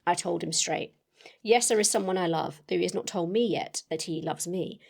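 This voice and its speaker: An excitable young Victorian lady played by a woman, reading a letter aloud as if in drama. Her voice sits slightly low and has a clear creak. She is gushing, sentimental and earnest, talking as though to a close friend. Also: moderate pace. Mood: tender, earnest. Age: young adult.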